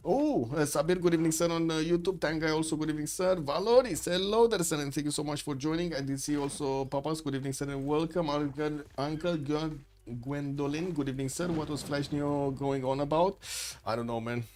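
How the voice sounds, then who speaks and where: A man's voice: a little high, steady tone, a little fast, a little mellow, slight background noise. A man hosting a livestream, giving rambling live commentary on a strategy game match and greeting chat viewers. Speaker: stream host and caster.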